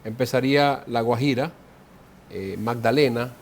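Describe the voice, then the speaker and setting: A man's voice: mid-range voice, a little slow. A man in his forties with a Colombian Caribbean coastal accent, a guest in an interview who explains things conversationally and often repeats words while he looks for the next one. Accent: Colombian Caribbean coastal Spanish. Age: 40s.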